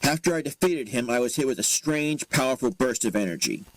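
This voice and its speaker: A man's voice: mid-range voice, lively intonation, a little fast, no echo, natural voice, rough recording. A man playing an earnest superhero rider in a fan audio drama, explaining and challenging. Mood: calm, explaining.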